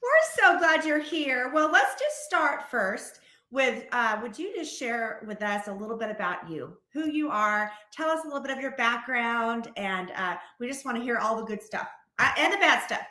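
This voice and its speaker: American lady podcast host, highish bright voice, chatty and gushing, bit of room echo. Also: very animated, moderate pace, hardly any pauses. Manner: effusive, chatty. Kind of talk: casual podcast hosting. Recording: roomy echo on the voice.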